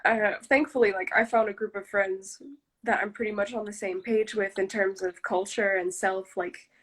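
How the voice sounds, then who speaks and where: A young woman guest on a video call, speaking in a relaxed, reflective way with lots of "like" and "you know.". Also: medium pitch, normal speed, breathy edge, poor audio. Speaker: interview guest. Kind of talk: casual interview chat.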